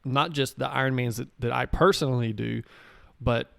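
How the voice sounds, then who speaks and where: A man who races Ironman, talking as a podcast guest in a halting way, leaning on "you know" fillers. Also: medium pitch, steady tone, gravelly, fairly bright tone, dry recording, studio quality. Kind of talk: casual podcast chat.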